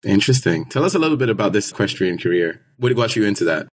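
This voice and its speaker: A young man hosting a podcast, putting questions to his guest in a brisk, friendly way. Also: lowish voice, sing-song, slight background noise. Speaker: podcast host, interviewer. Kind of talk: interview hosting.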